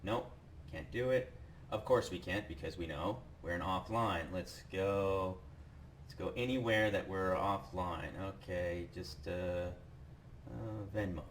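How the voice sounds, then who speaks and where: A man presenting a software demo as a casual, enthusiastic sales pitch, talking the viewer through each step. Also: measured pace, slight background noise.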